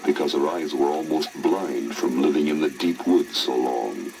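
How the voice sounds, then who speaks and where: A man narrating a children's story in a dramatic, expressive, theatrical style. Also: extremely low pitch, moderate pace, slight background noise, poor audio. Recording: old story record, orchestral music behind.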